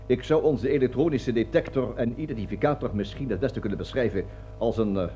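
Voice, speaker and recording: A man's voice: mid-range voice, a little fast, dark tone, dull audio, close to an everyday voice. Male actor as pompous colonel, officious, long-winded, servile upward and explosive downward, radio drama, music behind. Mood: pompous lecturing.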